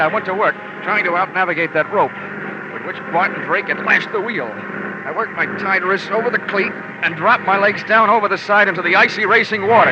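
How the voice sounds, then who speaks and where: An actor playing a tough, wry insurance investigator in a hard-boiled 1950s radio detective style. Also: male voice, high voice. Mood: tense, dramatic. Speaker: narrator and lead. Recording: dull old radio recording with music.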